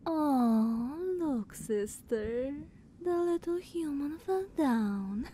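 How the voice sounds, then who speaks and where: A woman voicing a playful, eager younger vampire sister in a teasing, breathy ASMR roleplay. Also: high-pitched, expressive, warm tone, a little hiss or hum, exaggerated voice. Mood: teasing amusement. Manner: slow, teasing, breathy half-whispers.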